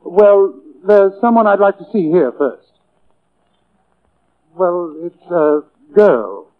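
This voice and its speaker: Casting: a suave, flirtatious nightclub boss in vintage radio drama, raised register, thin phone-like audio. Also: male voice, high-pitched, expressive, takes it slowly. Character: smooth-talking, flirtatious male nightclub owner. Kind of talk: 1940s radio acting. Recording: tinny old-time radio recording.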